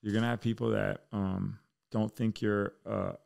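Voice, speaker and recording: A man's voice: lowish voice, little pitch movement, moderate pace, creaky, a little mellow. An American man chatting casually and candidly as a podcast guest, with slangy, bro-heavy speech. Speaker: podcast guest, military fire chief.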